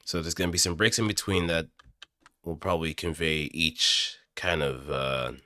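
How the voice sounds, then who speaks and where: A man's voice: a bass voice, fairly even intonation, normal speed, pushed and bright, dry recording, studio quality. A man talking casually to himself as he works through a voice recording practice session. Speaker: amateur voice actor.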